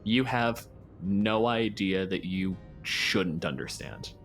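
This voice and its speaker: Low-pitched analytical man in game talk. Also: slow, a bit bright. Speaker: tabletop game participant and academic. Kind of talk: casual game discussion. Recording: music playing behind the voice.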